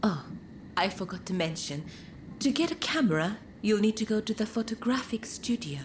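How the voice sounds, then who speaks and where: An actress playing a refined, knowing hostess of a pleasure district in a video game, speaking politely and teasingly. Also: female voice, mid-range voice, lively intonation, normal speed, a little hiss or hum, lightly acted. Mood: sly, confiding. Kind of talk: video game cutscene acting.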